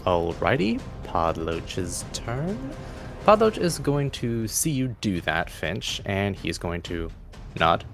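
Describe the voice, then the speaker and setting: A man's voice: fairly low pitch, sing-song, slow. A man working as game master, narrating a tabletop game in a dramatic storytelling style. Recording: faint music behind the voice. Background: faint music.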